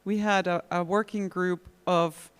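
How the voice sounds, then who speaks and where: A conference panelist explains a technical setup step by step. The voice is smooth and clear, with very little rise and fall. It echoes in a reverberant hall. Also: medium pitch, a little slow. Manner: steady, methodical explanation.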